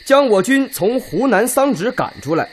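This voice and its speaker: A man playing a forceful, sceptical Red Army officer in a radio drama, with emphatic, declamatory delivery. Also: extremely high pitch, very animated, moderate pace, a little hiss or hum. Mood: urgent, doubtful. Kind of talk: Chinese radio drama acting.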